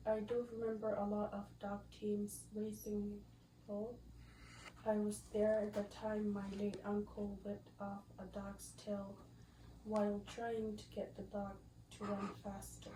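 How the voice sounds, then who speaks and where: Slow, flat, mellow woman reading aloud. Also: medium pitch. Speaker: student reader. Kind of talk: reading an elder's life story aloud. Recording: roomy, distant mic, faint noise.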